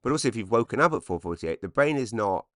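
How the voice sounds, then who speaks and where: A man in his forties with a slightly croaky voice, rambling self-deprecatingly through a comedic solo podcast monologue. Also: lowish voice, lively intonation, fast, a bit bright, no echo, studio quality. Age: 40s. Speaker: podcast host, stand-up comedian.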